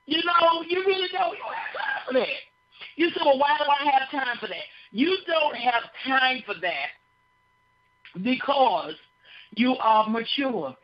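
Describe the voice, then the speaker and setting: A woman giving a conversational sermon on a broadcast, folksy and full of repeated phrases, often checking in with listeners. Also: a little high. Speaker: radio speaker on behavior. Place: radio studio. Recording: dull lo-fi broadcast sound.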